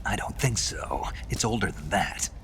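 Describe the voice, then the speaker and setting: An actor playing a terse male soldier in a video game, with a gruff, hushed voice and probing, laconic delivery. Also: deep voice, animated, moderate pace, a little hiss or hum. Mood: blunt, intimate.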